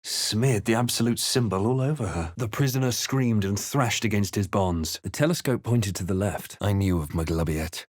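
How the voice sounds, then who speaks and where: A man playing a grave, watchful adventurer hero in a fantasy video game, speaking in a measured, dramatic, introspective way. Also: on the low side, darkish tone, no echo, studio quality, lightly acted. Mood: grave, brooding. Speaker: video game player character. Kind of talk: scripted narration and character lines for a fantasy game.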